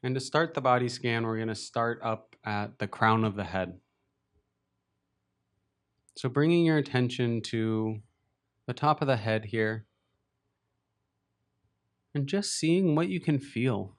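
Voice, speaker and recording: A man leading a beginners' body scan meditation, speaking slowly and gently with long pauses. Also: medium pitch. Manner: slow, gentle, unhurried guidance with long pauses. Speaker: meditation teacher. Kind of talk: guided meditation.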